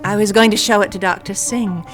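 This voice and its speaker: An actress playing a trusting, earnest wife in a radio mystery drama, speaking tenderly and with measured care. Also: female voice, mid-range voice, fairly even intonation, music in the background, lightly acted. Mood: shy, embarrassed.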